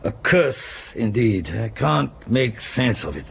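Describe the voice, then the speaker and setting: An actor playing an irascible, pompous older German professor in a radio drama, blustering and scornful with a German accent. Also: male voice, medium pitch, big pitch swings, takes it slowly, creaky, breathy edge, exaggerated voice. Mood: absorbed, gruff. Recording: faint background noise, 1990s radio broadcast.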